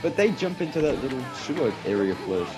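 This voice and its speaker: A man's voice: medium pitch, big pitch swings, a little fast, a crowd in the background, low-quality recording. A man giving casual, conversational commentary during a group video game playthrough. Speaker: gaming commentator. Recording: game music behind voice.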